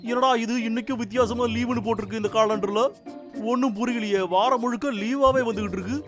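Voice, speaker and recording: A lazy, chuckling woodcutter who talks in a very high, pinched cartoon voice. He brags and flares up easily, and cartoon music plays under him. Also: steady tone. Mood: gleeful. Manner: chuckling, boastful, quick to anger. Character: lazy, hot-tempered male woodcutter. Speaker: cartoon dub. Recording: music bed behind.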